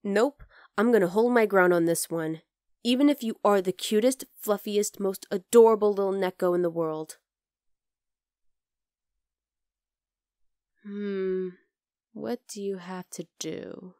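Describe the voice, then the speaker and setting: A woman's voice: medium pitch, moderate pace, a little mellow, dry recording, studio quality, natural voice. A woman playing a young wolf-girl girlfriend in an intimate ASMR roleplay, affectionate, teasing and coaxing. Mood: playful teasing. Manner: cooing, playful, coaxing.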